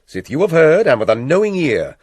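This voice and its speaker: Scheming Shakespearean king: British, persuasive, theatrical, widely swooping pitch, dry close sound. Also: sing-song, husky, no echo. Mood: confiding, persuasive. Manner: theatrical, measured with dramatic pauses. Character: scheming king, smooth, persuasive, plotting murder. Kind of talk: classical Shakespearean stage-style acting.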